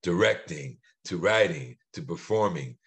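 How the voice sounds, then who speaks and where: A middle-aged man giving casual, heartfelt remarks on a video call, haltingly and repeating words. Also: low-pitched, animated, a bit bright, husky. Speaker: actor on a panel.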